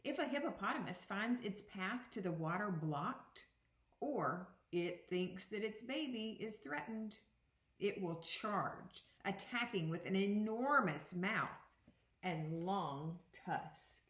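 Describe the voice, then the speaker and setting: A woman teacher giving an interactive read-aloud for young children, reading deliberately and pausing to put questions to her listeners. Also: mid-range voice, expressive, fairly bright tone, echoey room. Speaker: second grade teacher.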